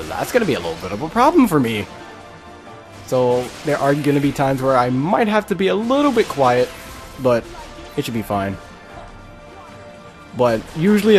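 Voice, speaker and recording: A man giving casual, halting live game commentary, breaking off to exclaim at the game. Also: mid-range voice. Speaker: gaming video creator.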